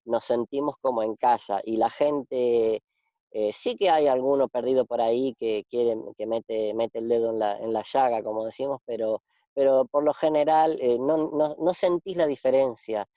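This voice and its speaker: A man in his forties from Argentina, with an Argentine Spanish accent, talking reflectively in a casual interview, pausing and restarting often. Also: medium pitch, steady tone, no room sound. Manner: reflective, halting with many restarts and fillers. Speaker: interview guest. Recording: dull, dry lo-fi sound.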